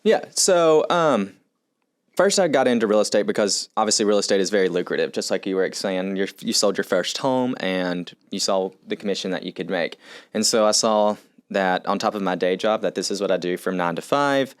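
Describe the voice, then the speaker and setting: A man's voice: lowish voice, fairly quick. A young man, a podcast guest, telling his story loosely and laughing between sentences. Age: young adult.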